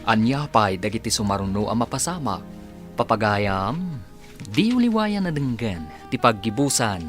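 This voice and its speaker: Low-pitched male radio drama announcer. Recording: announcement over prominent music.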